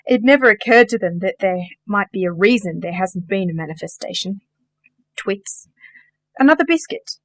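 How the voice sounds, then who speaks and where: A woman's voice: mid-range voice, expressive, normal speed, pauses often, no room sound, lightly acted. A woman playing a genteel, dryly witty sorcerer in a radio play, polite, precise and matter-of-fact. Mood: dryly amused. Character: composed, genteel, dryly witty female sorcerer, organizer of magic workers.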